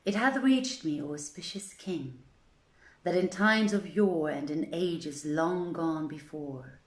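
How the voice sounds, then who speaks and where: A woman reading an old literary tale aloud as a storyteller, with deliberate, theatrical phrasing, in a roomy live stream recording. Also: deep voice. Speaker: storyteller, reader.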